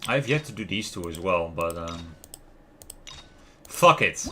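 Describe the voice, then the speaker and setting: A man livestreaming a video game, talking casually and haltingly and reading game text aloud. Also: low voice, expressive, a little hiss or hum. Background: soft background music.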